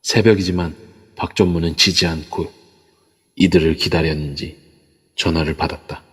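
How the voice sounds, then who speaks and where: A man narrating an audio drama in a measured storytelling manner. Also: low-pitched, fairly even intonation, husky, big-room sound. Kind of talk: scripted narration. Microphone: phone app mic.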